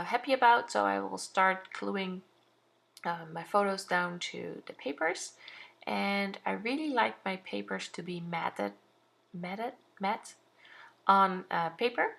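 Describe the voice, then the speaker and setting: A woman's voice: medium pitch, gravelly, fairly bright tone. A young woman with a Dutch accent, talking through her scrapbooking in a casual voice-over, hesitant and full of fillers. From Netherlands. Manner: hesitant, many fillers and restarts. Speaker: scrapbooking channel host.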